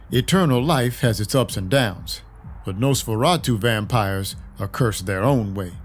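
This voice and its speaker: A man playing a world-weary vampire detective in a noir audio drama, wry, guarded and deliberate. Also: mid-range voice, darkish tone, slight background noise, close to an everyday voice.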